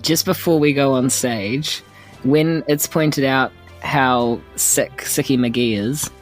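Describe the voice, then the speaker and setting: A woman chats casually as a player in a tabletop game. Her voice is extremely low for a woman. Soft music runs underneath. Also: takes it slowly.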